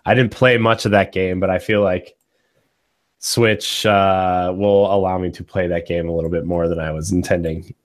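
A young man with a cold-hoarse voice hosting a casual gaming podcast, rambling and restarting his thoughts, recorded on a studio mic at home. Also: low-pitched, steady tone, normal speed. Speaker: podcast host. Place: small home studio with green backdrop. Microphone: studio condenser mic on boom arm.

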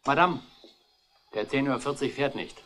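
An actor playing a polite, officious railway ticket clerk in a 1960s German radio mystery, chatty and matter-of-fact. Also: male voice, medium pitch, moderate pace, a bit bright, natural voice. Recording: noisy old radio recording with clicks.

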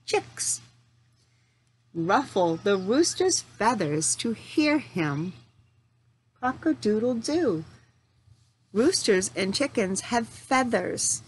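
A woman in her forties reads to small children at a slow pace, in a middle-pitched voice. She uses simple, deliberate phrases and puts questions to her young listeners. The recording has noticeable noise behind her. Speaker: teacher reading to young children. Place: home room in front of a large window. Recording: steady noticeable background noise.

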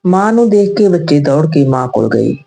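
A woman's voice: low-pitched, very animated, moderate pace, creaky, mellow, slightly rough, faint music behind, rough recording. A woman narrating an emotional moral story from a script, as a storyteller.

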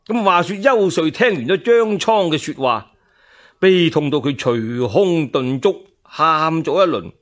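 Unhurried Cantonese man telling historical tales. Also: on the high side, animated, a bit bright. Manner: dramatic, colloquial, unhurried. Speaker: storyteller. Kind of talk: animated scripted narration of historical tales.

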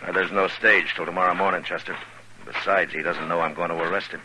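An actor playing a steady, laconic frontier marshal in 1950s radio drama, with measured, understated delivery and hesitant pauses. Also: male voice, deep voice, close to an everyday voice. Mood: easy, alert. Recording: noisy vintage radio recording.

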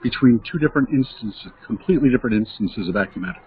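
A man giving an unscripted, somewhat halting walkthrough as a software demonstrator. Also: medium pitch, animated, breathy edge, rough recording. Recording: faint background noise.

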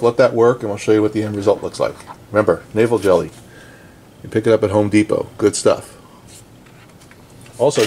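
A man giving a relaxed, chatty hands-on repair tutorial at his indoor workbench, talking to the camera while he works. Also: fairly low pitch, slight background noise. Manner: relaxed, chatty, frequent "okay?" and "um". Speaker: vintage radio restorer.

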